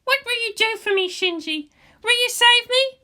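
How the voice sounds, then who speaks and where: A woman's voice: extremely high pitch, expressive, normal speed, bright, forceful tone, voice pushed up, heavily acted. A woman voicing a proud, brash but vulnerable teenage girl in a fan fiction reading. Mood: vulnerable, pleading.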